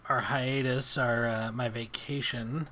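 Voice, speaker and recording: A man's voice: mid-range voice, steady tone, slow pace, sharp tone. A man co-hosting a casual podcast, telling a story in a laid-back way and laughing often. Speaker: podcast co-host. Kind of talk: casual chat.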